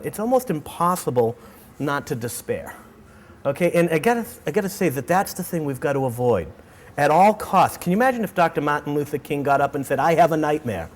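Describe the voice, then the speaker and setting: A middle-aged man with a Boston accent, giving a keynote talk to students in an animated way, often repeating words and restarting sentences. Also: fairly high pitch, brisk. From Boston, United States. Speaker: keynote speaker. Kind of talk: energetic lecture to students. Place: auditorium.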